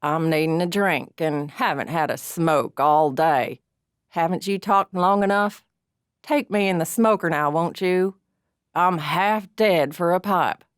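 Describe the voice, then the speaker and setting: A glum, gruff prisoner of a man, blunt and weary, growling out his requests, as a female storyteller puts him on. The voice sits low and a little pushed, recorded close and dry. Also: a little slow, dry recording, lightly acted. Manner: gruff, growling, plain-spoken.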